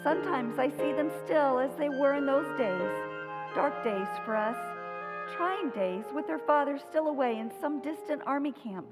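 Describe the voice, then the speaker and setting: Woman acting a fond mother, high voice, wistful, sentimental storytelling, radio play narration, loud music underneath. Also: lively intonation, normal speed, low-quality recording. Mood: nostalgic. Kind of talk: old-time radio narration.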